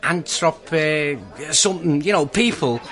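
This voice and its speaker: Matey, wheedling pub regular. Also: male voice, on the high side, lively intonation, measured pace, a bit bright. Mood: grumbling, wheedling. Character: worried, matey pub regular cadging drinks. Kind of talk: radio drama acting.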